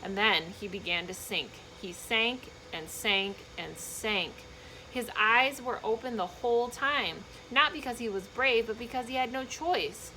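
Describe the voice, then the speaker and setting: A woman reading a children's book aloud in an expressive, emphatic storytelling manner, with short explanatory asides for young listeners. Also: a little high, unhurried, slight background noise, rough recording.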